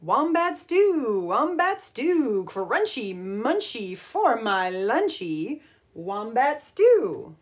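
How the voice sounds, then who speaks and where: A woman's voice: medium pitch, very animated, slow pace. A woman doing a boastful sing-song dingo. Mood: chanting. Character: boastful singing male dingo cook. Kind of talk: picture book read-aloud.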